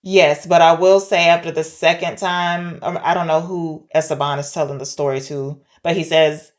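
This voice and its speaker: A woman's voice: sits low, steady tone, normal speed. A woman podcast co-host chatting casually and candidly as she recaps a film, often posing questions. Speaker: podcast co-host discussing films.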